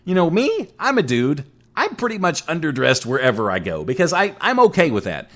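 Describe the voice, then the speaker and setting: Man, forties, casual talk show host, chatty, animated delivery. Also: a little high, a little fast. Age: 40s. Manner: chatty, rambling, self-mocking. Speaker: internet radio show host. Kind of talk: casual solo talk show, reading chat.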